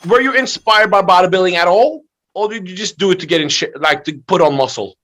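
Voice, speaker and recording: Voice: mid-range voice, fast, fairly bright tone. A man hosting a conversational video interview, asking questions and reacting with short interjections. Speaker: interview host.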